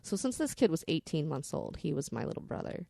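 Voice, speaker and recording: A woman's voice: deep voice, big pitch swings, fairly quick, gravelly, mellow, dry recording, clean studio sound. A woman podcast storyteller telling personal stories in a candid, rambling, salty-tongued way.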